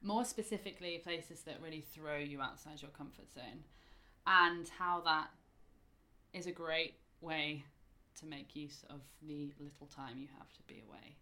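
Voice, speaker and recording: A British woman hosts a travel podcast with an eager, chatty manner and an easy laugh. Her voice sits a little low but has a bright, forceful edge. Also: measured pace. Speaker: podcast host. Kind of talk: casual interview chat. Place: indoors in a home.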